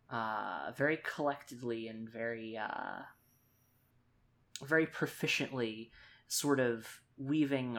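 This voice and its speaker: A man's voice: mid-range voice, fairly bright tone. A man narrating a tabletop roleplaying game as game master, hesitant and searching for words as he improvises.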